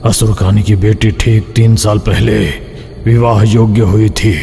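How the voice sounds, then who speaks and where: A man's voice: on the low side, flat delivery, measured pace, gravelly, mellow. A man voicing a defiant severed ghostly hand that serves a demon, taunting and explaining in a horror cartoon. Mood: grimly explaining. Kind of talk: Hindi horror cartoon dialogue. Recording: long hall reverb, background noise.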